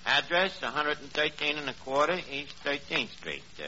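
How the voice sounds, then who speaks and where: Gullible New York barkeep, old noisy radio. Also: male voice, fairly high pitch, lively intonation, moderate pace, sharp tone. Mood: earnest, proud. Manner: ungrammatical street talk with pompous airs. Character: gullible, self-important working-class New York barkeep Archie. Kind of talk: 1940s radio comedy acting. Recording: muffled, noisy 1940s radio recording.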